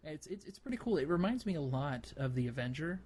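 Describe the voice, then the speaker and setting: A man hosting a casual gaming podcast, talking in a halting way as he thinks aloud. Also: fairly high pitch, big pitch swings, a little mellow, a little hiss or hum. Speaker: podcast host.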